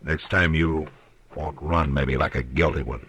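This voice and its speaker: An actor playing a stern, weary frontier marshal in a radio western, terse, blunt and authoritative, on a vintage recording. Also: male voice, very deep voice, fairly even intonation, unhurried, a bit bright, slight background noise. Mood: weary, firm. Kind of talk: old radio western acting.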